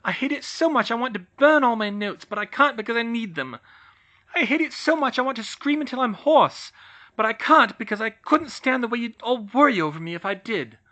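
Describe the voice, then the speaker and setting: Brief: an overworked young witch, loud, high and strained, swinging wildly in pitch. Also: expressive, fairly quick. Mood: distraught. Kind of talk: audiobook reading of fan fiction. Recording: slightly muffled recording.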